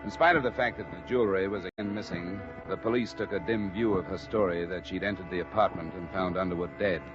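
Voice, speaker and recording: An old, dull-sounding radio drama recording with prominent music. A man acts a terse, weary American insurance investigator with a very deep voice. He speaks in clipped, matter-of-fact phrases and keeps his guard up. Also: steady tone, quick pace, close to an everyday voice. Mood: weary narrating. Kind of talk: 1950s radio detective drama with first-person narration.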